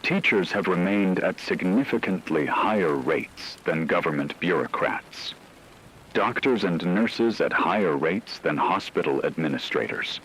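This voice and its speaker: A man playing a grave radio announcer, reading scripted news in a measured, formal way. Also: low-pitched, lively intonation, a bit bright, a little hiss or hum, low-quality recording. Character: grave male radio announcer reporting on global collapse.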